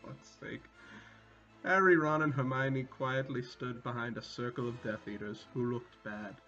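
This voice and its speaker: A man's voice: mid-range voice, fairly even intonation, unhurried, sharp tone. A man giving a comedic, mock-dramatic reading with casual sweary asides, amused and prone to breaking into laughter. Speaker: reader. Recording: dull lo-fi sound, music behind. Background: music.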